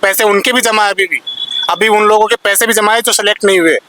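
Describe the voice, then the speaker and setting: A man's voice: sits high, fairly even intonation, fast, pushed and bright, low-quality recording. A man at a protest, an exam aspirant arguing bitterly and sarcastically about a broken recruitment. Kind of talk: street interview.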